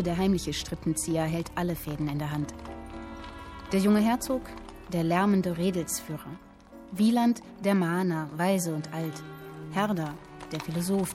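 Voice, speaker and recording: An actress playing a woman who reads a literary tale aloud in a measured, expressive storytelling manner, in a radio drama. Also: lowish voice, lightly acted. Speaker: narrator reading a literary account. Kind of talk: dramatic literary narration in present tense.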